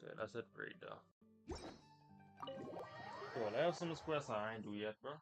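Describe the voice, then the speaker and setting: A man's voice: lowish voice, animated, moderate pace. Male streamer, casual rambling slang, faint music behind. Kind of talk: casual live game commentary.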